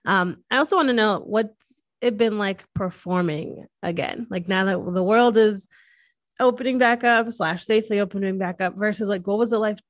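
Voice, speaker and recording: A woman's voice: mid-range voice, normal speed, dry recording. A woman hosting a casual podcast interview, informal and encouraging, with loose, rambling questions. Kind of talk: casual chat.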